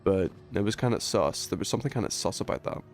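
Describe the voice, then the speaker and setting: Male streamer, casual gameplay chatter, very expressive pitch, slang and cursing, faint music underneath. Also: quick pace. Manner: slangy, swearing. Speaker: video game live streamer.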